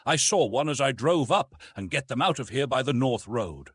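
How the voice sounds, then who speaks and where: A man's voice: medium pitch, lively intonation, normal speed, husky, no echo. A man voicing a bold, commanding wild man of the jungle in an audiobook adventure story. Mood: urgent, commanding. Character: bold, commanding male stranger, fierce primeval jungle man.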